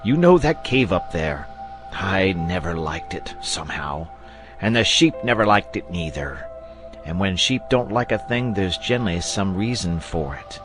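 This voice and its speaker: Agitated rustic shepherd, played by a male reader, superstitious, exclamatory country phrasing, highly animated pitch, storybook audiobook. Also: normal speed, over music. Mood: uneasy. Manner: rustic dialect grammar, exclamatory.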